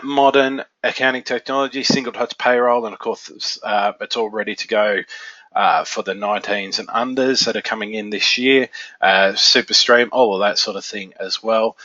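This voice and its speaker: A man with a stuffy nose from a cold, presenting a software training webinar in a casual, filler-heavy way. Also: fairly low pitch, no echo. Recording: dull, lo-fi webinar audio.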